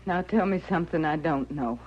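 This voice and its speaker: A woman's voice: low voice, a little fast, darkish tone, lightly acted. An actress playing a starving, bitter and exhausted frontier woman in an old-time radio western, terse and defiant. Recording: muffled, noisy old radio recording.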